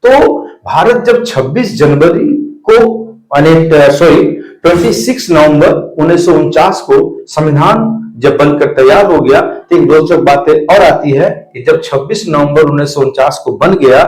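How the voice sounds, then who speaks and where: Male explainer presenter, slightly high pitch, emphatic teaching delivery, roomy echoing sound. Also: a little slow, hardly any pauses, darkish tone. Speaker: video presenter. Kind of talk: explainer talk to viewers. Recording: echoey room.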